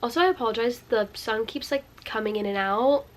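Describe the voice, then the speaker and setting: A woman's voice: on the high side, fairly quick. Stuffy-voiced young woman gushing over books. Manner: bubbly, gushing, filler-heavy. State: stuffy from a cold. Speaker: book vlogger. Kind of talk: casual chat to camera. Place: indoors at home by a sunny window.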